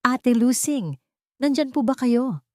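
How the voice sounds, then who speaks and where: A woman's voice: high-pitched, lively intonation, slow pace, no room sound, clean studio sound, voice pushed up. A woman with a Filipino accent voicing a polite, pleading young housemaid in an audio drama story. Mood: anxious hope.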